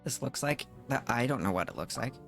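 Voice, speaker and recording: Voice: medium pitch, expressive, brisk, creaky, soft background music. A game streamer reacting casually during play, in a muttering, questioning way.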